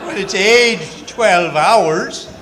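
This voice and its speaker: A man playing a genial, rough-edged small-town bootlegger in a live radio play, talking in folksy slang. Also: sits high, drawn-out words, a bit bright, a faint crowd, a little hiss or hum, heavily acted, low-quality recording. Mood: casual, frank.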